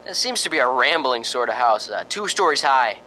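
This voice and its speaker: An actor playing a chatty, slightly nervous ghost-hunting radio host in a radio drama, hesitant and conversational. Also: male voice, mid-range voice, sing-song, brisk, bright, forceful tone, slight background noise, low-quality recording. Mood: genial, informal. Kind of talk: radio drama acting, on-air commentary.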